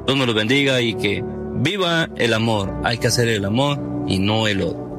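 A man's voice: medium pitch, moderate pace. A man from Guatemala giving an earnest, preachy video message. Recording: played clip over music.

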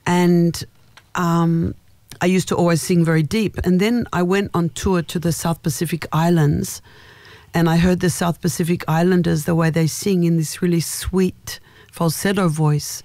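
A middle-aged woman with a naturally deep voice, a singer talking in a radio studio interview, thoughtful and halting, with many restarts. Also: a little mellow, no echo. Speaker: guest singer.

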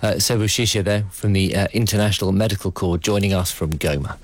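A man's voice: sits low, fast. A man presenting a news program, questioning and thanking a guest politely. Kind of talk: broadcast interviewing.

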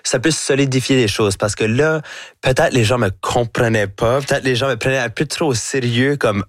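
A man's voice: medium pitch, normal speed, no room sound. A young man with an Acadian French accent, speaking as a guest in a studio interview, rambling loosely and slipping in English words. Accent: Acadian French with chiac. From Acadia, Canada. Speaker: guest, performer. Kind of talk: casual interview chat. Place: radio studio. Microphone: studio microphone.

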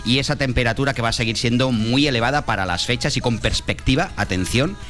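A man's voice: medium pitch, fast talker, fairly bright tone, faint music behind. A man with a Spanish accent from Spain giving a conversational weather forecast on the radio. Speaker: radio meteorologist.

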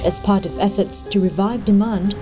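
A woman reading scripted news narration in a measured, even way. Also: mid-range voice, over music.

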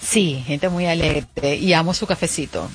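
A Venezuelan woman hosting a morning radio show, teasing her co-host in chat and reading headlines briskly from a studio mic. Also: deep voice, a little hiss or hum. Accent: Venezuelan, mixed with Caribbean Spanish. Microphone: studio microphone.

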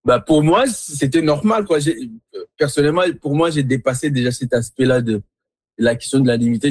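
A man from Congo gives reflective interview answers about his art in a husky, breathy voice. He hesitates and circles back as he searches for words. The recording is close and dry, with an average male pitch. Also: darkish tone, no room sound. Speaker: visual artist being interviewed.

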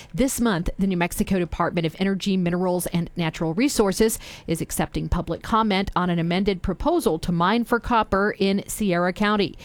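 A woman's voice: mid-range voice, no room sound. A woman hosting a news program, reading a scripted introduction.

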